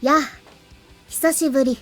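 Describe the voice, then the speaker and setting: A woman's voice: high-pitched, steady tone, a little fast, a bit bright, faint music behind. A woman voice acting a mysterious, knowing little boy in a visual novel. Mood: knowing, breezy.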